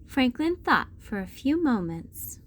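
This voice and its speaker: A woman's voice: fairly high pitch, very animated, a little slow. A woman hosting a read-aloud, narrating a children's storybook expressively. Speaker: storybook reader and channel host.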